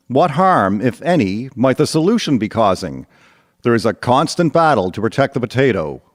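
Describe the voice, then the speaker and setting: A man reading scripted narration in a documentary style as the narrator. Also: medium pitch.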